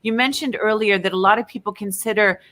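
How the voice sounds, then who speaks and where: A woman's voice: mid-range voice, fast talker, a bit bright. A woman hosting a livestream interview over a video call, talking in a chatty, affirming way. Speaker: livestream host.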